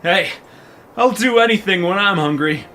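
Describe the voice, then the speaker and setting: A woman voicing a very hungry, demanding pizza troll in an amateur audio drama, with a slow, gloating delivery. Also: mid-range voice, a bit bright, faint music behind, close to an everyday voice. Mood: smug, unrepentant.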